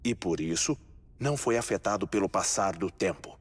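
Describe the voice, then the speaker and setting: A man with a Brazilian accent playing a calm, methodical scientist who explains findings in a dubbed game cutscene. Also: lowish voice, slightly rough. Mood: calm, explanatory. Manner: expository, measured. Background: music.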